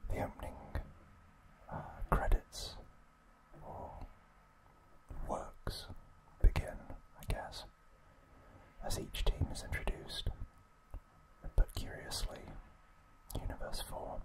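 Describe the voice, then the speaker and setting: A man whispering a trivia recap as an ASMR host, in breathy, unvoiced speech broken into short, deliberate phrases. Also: poor audio.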